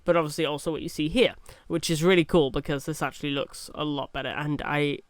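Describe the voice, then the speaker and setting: Young guy with a bit of a cold, rambling gameplay chat, pitched a bit high, smooth clear voice. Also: steady tone, quick pace, no room sound, studio quality. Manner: rambling, chatty, with many fillers and restarts. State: has a cold. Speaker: gaming YouTuber. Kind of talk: casual gameplay commentary.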